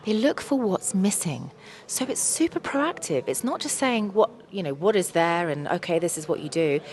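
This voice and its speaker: A woman attorney with a hoarse voice, eagerly explaining her product in an interview at a trade show. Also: fairly low pitch, animated, darkish tone. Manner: fast, enthusiastic explaining with many fillers. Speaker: attorney and company representative. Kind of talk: conference booth interview. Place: busy trade show floor. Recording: crowd noise of a trade show. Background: crowd chatter.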